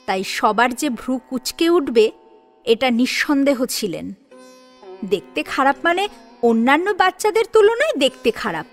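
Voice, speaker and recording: A woman narrating a dramatic story in an expressive storytelling manner. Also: high-pitched.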